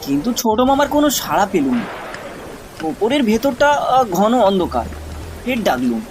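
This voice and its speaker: High-pitched, animated male ghost-story narrator. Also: moderate pace, background noise.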